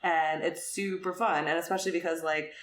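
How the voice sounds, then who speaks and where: Low-pitched woman chatting in echoey room. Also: steady tone, brisk, a bit bright. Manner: conversational, peppered with "like" and "super". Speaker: podcast co-host. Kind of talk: casual book chat. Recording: roomy echo, clear background noise.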